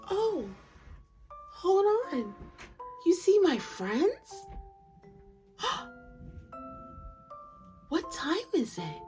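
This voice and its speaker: A woman in her thirties hosting bedtime stories for children, with exaggerated, deliberate phrasing as she reads to young listeners. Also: sits high, bright, forceful tone. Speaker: host of a children's bedtime story series. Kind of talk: children's read-aloud, storytelling to young listeners. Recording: music behind the voice. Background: music.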